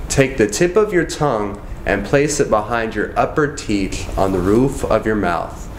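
A young man with a fairly low voice leads a language lesson, speaking slowly and evenly. He repeats each phrase with measured care. The room gives his voice a roomy echo, with a faint hum behind it. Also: animated. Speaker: language teacher. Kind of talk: slow, careful language lesson. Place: indoors, in front of a wooden wall.

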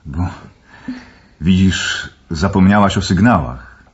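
An actor playing an earnest, brooding young gentleman in a radio drama, telling things in a measured, ominous way. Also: sits low, highs cut off, a little hiss or hum.

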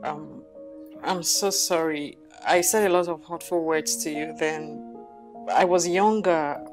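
An actress playing a humble, apologetic former daughter-in-law, deferential and hesitant. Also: female voice, sits low, slow, music in the background. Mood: contrite, hesitant. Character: humble, apologetic woman visiting her former mother-in-law. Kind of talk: film drama acting.

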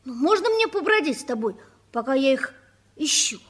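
A woman playing an earnest, halting nine-year-old runaway orphan boy in a 1960s radio play. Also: high-pitched, sing-song. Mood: pleading.

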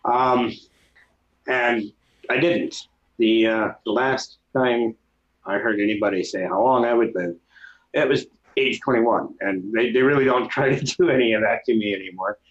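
A man in his thirties from New York, a guest speaking calmly with many pauses over a video call from home. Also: medium pitch. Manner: calm, plain, many filler pauses. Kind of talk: casual interview chat. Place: home room. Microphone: video call with webcam mic. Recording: dull lo-fi webcam audio.

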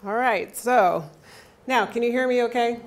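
A woman in her thirties giving a health lecture into a handheld mic in a university lecture hall, loud and energetic, often checking in with the audience. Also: fairly high pitch, measured pace. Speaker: athletic trainer and lecturer. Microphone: handheld microphone.

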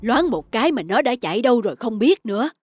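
A protective older ape from a children's cartoon. The voice is fairly high, kindly but admonishing, like an elder minding a youngster. Music is clearly heard behind. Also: female voice, very animated, quick pace, darkish tone. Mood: concerned warning. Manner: admonishing, concerned. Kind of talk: cartoon voice acting.

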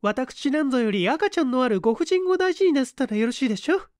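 Man acting a huffy young shopgirl, high voice, primly sarcastic, swooping intonation, audiobook character. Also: lively intonation, quick pace, a little mellow, no room sound, clean studio sound. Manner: prim, sarcastic politeness. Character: sharp-tongued huffy young cafe cashier woman.

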